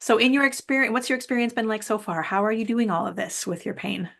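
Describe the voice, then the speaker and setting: A woman's voice: on the high side, steady tone, quick pace, gravelly. A Canadian woman hosting a podcast, encouraging and affirming, with light laughs. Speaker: podcast host. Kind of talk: podcast interview.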